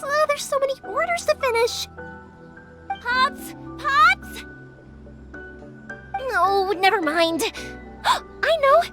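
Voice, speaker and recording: High-pitched bubbly young Yoimiya. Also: female voice, exaggerated voice. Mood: hurried, cheerful. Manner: bright-spirited, impulsive, reassuring. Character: Yoimiya, bubbly, caring young female fireworks maker. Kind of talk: anime video game voice acting.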